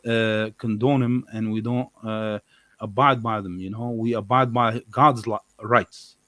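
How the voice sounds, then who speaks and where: A man's voice: on the low side, steady tone, unhurried, pushed and bright, no room sound. A man in a casual, argumentative discussion as a guest commentator, often repeating words and rephrasing as he builds his point. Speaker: guest commentator on a religious live show.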